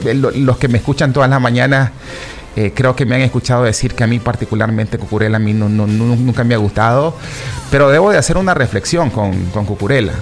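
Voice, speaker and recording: A man's voice: mid-range voice, gravelly. A man giving his football opinions to his regular listeners, rambling with restarts and fillers, calling them "chicos". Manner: rambling, repeats himself, many fillers and restarts. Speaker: football commentator. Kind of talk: casual opinion talk.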